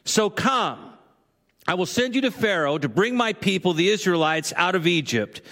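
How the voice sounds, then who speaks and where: A man's voice: high voice, lively intonation, moderate pace, fairly bright tone. A man preaching a conversational sermon to a congregation, now and then acting out the voices of figures he quotes. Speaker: pastor. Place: church sanctuary. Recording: long hall reverb.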